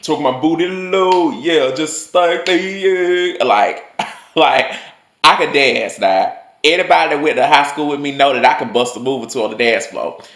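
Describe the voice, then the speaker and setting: A young man telling personal stories in a loose, chatty way, his voice swinging higher and lower as he talks. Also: normal speed, fairly bright tone, reverberant. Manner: chatty, loose, full of "like" and swearing. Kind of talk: casual storytelling monologue.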